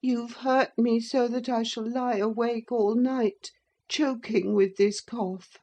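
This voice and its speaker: A woman's voice: a little high, fairly even intonation, slow pace, dark tone, pitched above the performer's own voice. A woman performs a sickly young boy in a classic novel reading. He is pettish, spiteful and full of self-pity, whining in a raised voice.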